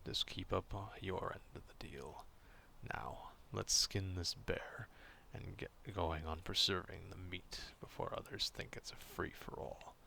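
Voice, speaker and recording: A man acting out a gruff, proud, distrustful werewolf in an ASMR roleplay, stern and curt but grudgingly caring, in a gravelly half-whisper. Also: low-pitched, unhurried, sharp tone, slight background noise, heavily acted. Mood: grudgingly generous.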